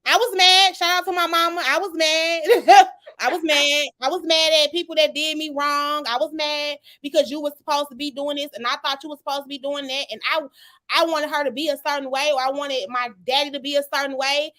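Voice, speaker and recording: A woman's voice: high voice, fairly even intonation, a little fast, fairly bright tone. A woman hosting a podcast panel, talking animatedly, repeating herself for emphasis and laughing often. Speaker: podcast host. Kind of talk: casual panel discussion.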